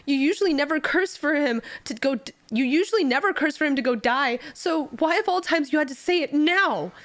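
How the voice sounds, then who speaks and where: A woman voicing a hot-tempered young male servant who rants through tears. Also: fairly quick. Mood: bitter. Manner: high, tearful ranting.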